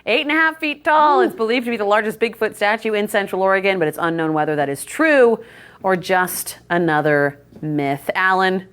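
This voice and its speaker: A woman's voice: medium pitch, moderate pace, fairly bright tone. An American woman presenting a light local news story in a broadcast style.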